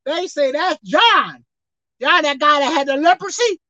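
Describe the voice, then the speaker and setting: A woman preacher delivering a sermon with animated, call-and-response delivery, acting out bits of dialogue. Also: high voice, unhurried, no echo. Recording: dull lo-fi dry sound.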